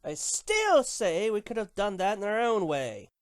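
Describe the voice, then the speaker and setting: A woman's voice: mid-range voice, huge pitch swings, unhurried, dry recording, clean studio sound. A woman playing a grumpy, menacing winged unicorn empress in a dramatic cartoon story reading, grumbling, dismissive and sardonic.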